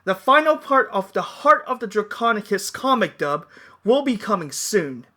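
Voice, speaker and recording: Lively man giving a birthday greeting. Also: sits high, normal speed. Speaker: host, dub organizer. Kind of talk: cheerful birthday message.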